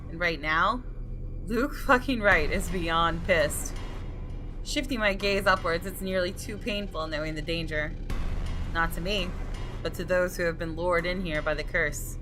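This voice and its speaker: A woman's voice: fairly low pitch, measured pace. A woman gaming YouTuber reading a visual novel aloud as dramatic narration. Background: music.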